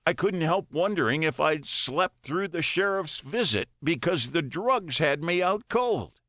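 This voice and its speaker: Man acting a plaintive, exasperated father, pitched up. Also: animated, unhurried, a bit bright, no echo, clean studio sound. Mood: bewildered. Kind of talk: audiobook character dialogue.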